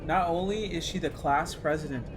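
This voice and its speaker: A man's voice: a little high, normal speed, background noise. A young man giving casual, slangy video game commentary with a wry manner. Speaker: gaming content creator. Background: game music.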